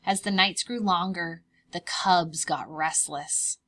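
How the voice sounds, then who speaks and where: A woman preschool teacher reading a children's storybook aloud in a deliberate, expressive, child-directed way. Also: on the low side.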